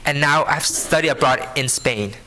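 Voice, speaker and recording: Bright-voiced young Vietnamese man giving a speech. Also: a little high, moderate pace, slight background noise. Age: early 20s. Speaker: scholarship program student speaker. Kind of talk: prepared inspirational speech to an audience. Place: live benefit event before an audience.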